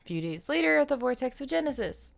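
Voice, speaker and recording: A woman's voice: sits high, big pitch swings, fast, vocal fry. A woman livestreaming a story game, reading text aloud and chatting casually in reaction.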